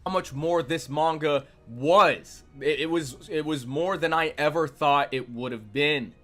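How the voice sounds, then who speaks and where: A man's voice: on the high side, a little slow, a bit bright. A man delivering review commentary as a video reviewer. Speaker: manga reviewer. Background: background music.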